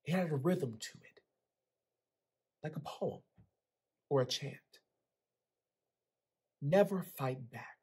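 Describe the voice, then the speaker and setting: A man in his twenties reads a story in the first person. His voice sits a little high and has a husky edge. He is expressive and performs it like a drama. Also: slow pace, long pauses. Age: 20s. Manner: expressive, acts out the dialogue. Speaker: actor reading aloud from a novel. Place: small plain room indoors.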